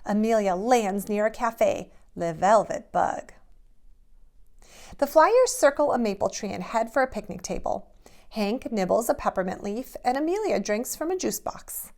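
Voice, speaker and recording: Female preschool teacher for children's read-alouds and lessons: mid-pitched, very animated intonation, slow delivery, simple guiding phrasing. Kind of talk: expressive picture-book read-aloud and children's drawing lesson.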